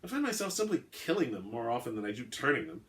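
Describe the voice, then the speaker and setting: A man's voice: medium pitch, big pitch swings, quick pace, fairly bright tone. A man voicing a suave, haughty centuries-old vampire with formal, pompous phrasing, in a dramatic story reading. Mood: urbane, amused. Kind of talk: dramatic reading of an all-dialogue short story.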